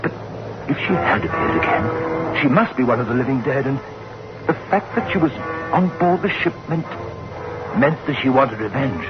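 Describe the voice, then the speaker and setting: A man playing a jittery, guilt-ridden husband in a 1960s radio horror drama, tense and halting. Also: a little high, close to an everyday voice. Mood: haunted, fearful. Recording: muffled old radio recording, loud music.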